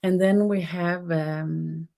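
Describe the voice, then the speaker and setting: A middle-aged woman with a Danish accent, her first language Danish, teaching a spiritual webinar in a soft, unhurried way, often pausing and restarting as she searches for English words. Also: lowish voice, fairly even intonation, vocal fry.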